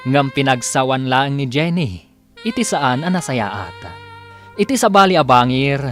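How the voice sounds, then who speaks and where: Male serial narrator, mid-pitched, dramatic scripted announcements with faint music underneath. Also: sing-song, measured pace, a bit bright.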